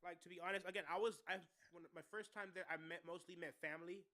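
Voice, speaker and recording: A man's voice: high-pitched, fairly even intonation, quick pace, sharp tone. A young man from Miami co-hosting a live radio show, chatting casually and rambling with restarts as he tells stories about his family. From Miami, USA. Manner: rambling, restarts, laughs at himself. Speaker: co-host. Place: radio studio.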